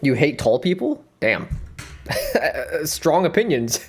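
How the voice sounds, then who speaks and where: A male streamer talks through a dating show clip in a loose, joking, rambling way, his pitch jumping all over as he riffs. He keeps breaking into laughs. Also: huge pitch swings, slow pace, slightly rough. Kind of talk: joking reaction commentary.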